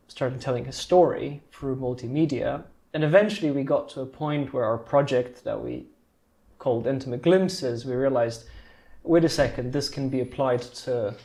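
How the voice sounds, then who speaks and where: A man's voice: medium pitch, gravelly, a little mellow. A man from Romania speaking as a podcast guest, explaining things in a casual, conversational way. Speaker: podcast guest, art project creator.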